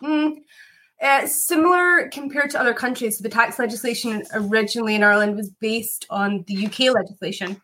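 A woman's voice: on the high side, brisk, sharp tone, low-quality recording. A woman from Scotland, now a whiskey maker, chatting in an interview over a video call, full of "like" fillers and laughter. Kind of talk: casual interview chat.

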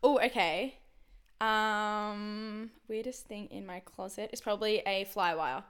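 A young woman co-hosting a podcast, chatting loudly and excitably, quick to laugh, speaking into a handheld mic she keeps away from her mouth. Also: mid-range voice, clear, resonant tone. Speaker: podcast co-host. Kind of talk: casual chat. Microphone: handheld mic held away from mouth.

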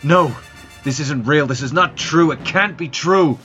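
A man's voice: a little high, a little slow, sharp tone. A man acting a desperate scientist in an audio drama, with music behind him. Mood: disbelieving anguish. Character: desperate male scientist pleading to stop a bombing.

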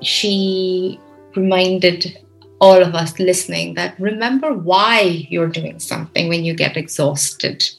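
Woman interviewer, gravelly and unhurried, admiring questions, faint music underneath. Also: on the low side, poor audio. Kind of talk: casual interview conversation.

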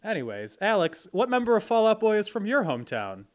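A man's voice: high-pitched, huge pitch swings, brisk. A man from Illinois co-hosting a casual podcast chat, recapping the plot and joking, laughing often. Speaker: podcast co-host.

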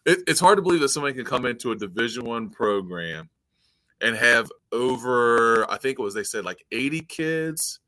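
A man co-hosting a casual sports podcast, laid-back and slangy, quick to agree. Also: medium pitch, lively intonation, bright, forceful tone, no echo. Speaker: podcast co-host.

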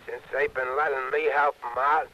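A man's voice: mid-range voice, fairly even intonation, moderate pace, sharp tone, lightly acted. A man playing a chummy, streetwise American ex-convict informant in a 1960s radio drama, talking in an eager, slangy way. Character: chummy, eager male ex-crook turned informant. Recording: dull vintage radio recording.

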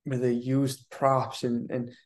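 Man, twenties, Icelandic-raised, hesitant, reflective, mid pitch. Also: monotone. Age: 20s. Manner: thoughtful, halting, many restarts and repeated words. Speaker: guest theater artist. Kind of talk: casual interview.

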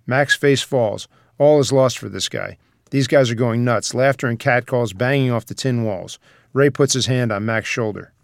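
A man's voice: medium pitch, normal speed, vocal fry, no echo, studio quality. A man narrating a screenplay's stage directions in a dramatic present-tense storytelling manner.

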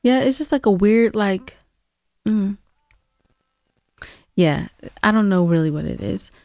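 A woman's voice: mid-range voice, expressive, unhurried, a little mellow, dry recording, clean studio sound. A woman co-hosting a podcast in casual banter, sassy and playfully argumentative. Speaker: podcast co-host.

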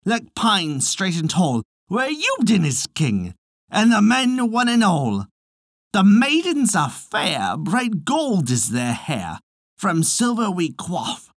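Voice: medium pitch, a bit bright, dry recording, studio quality, exaggerated voice. A storyteller voicing a tiny, proud male fairy poet with a declamatory, archaic and haughty delivery.

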